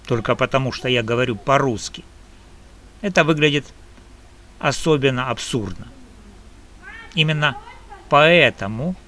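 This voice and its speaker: A man from Ukraine delivering a personal opinion monologue to camera, slow and emphatic in measured phrases. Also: medium pitch, pushed and bright, slight background noise. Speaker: video blogger.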